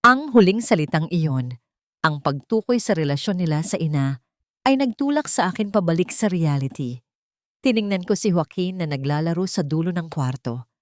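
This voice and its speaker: Female Filipino story narrator, slightly low register, scripted first-person drama, no room sound. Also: lively intonation, moderate pace, dry recording, studio quality.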